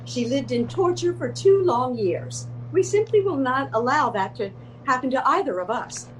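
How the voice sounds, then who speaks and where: A determined, eccentric old lady acted by a woman in a stage comedy. She is formal and matter-of-fact, with a highish voice and lively intonation, over faint background noise. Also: moderate pace, poor audio. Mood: resolute. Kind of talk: comedic readers theater acting.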